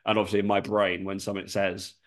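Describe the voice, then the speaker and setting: A man's voice: low-pitched, level tone, fast talker. A man co-hosting a podcast, chatting casually and swearing freely, with a slightly nasal voice from a lingering cold. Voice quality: sweary, laughs often. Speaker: podcast co-host. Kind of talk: casual chat.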